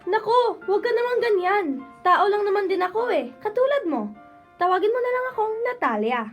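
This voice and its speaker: A woman's voice: very high-pitched, lively intonation, fairly quick, soft background music. A woman playing an earnest, pleading young daughter of a corrupt mayor in an amateur radio drama. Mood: polite, light.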